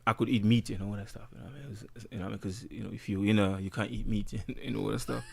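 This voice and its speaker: A man's voice: on the low side, steady tone, racing, vocal fry, breathy edge. A man talking casually in an interview as a musician, laid-back, full of slang and fillers. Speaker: guest musician.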